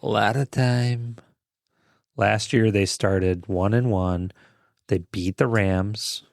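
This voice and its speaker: Low-voiced man chatting sports. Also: steady tone, slow, gravelly, dry recording, clean studio sound. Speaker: podcast co-host. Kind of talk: casual sports chat.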